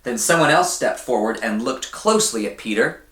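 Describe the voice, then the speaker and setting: A man reading a children's story aloud in an expressive, deliberate way, often putting questions to his young listeners. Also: mid-range voice, reverberant. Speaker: church storybook reader for children.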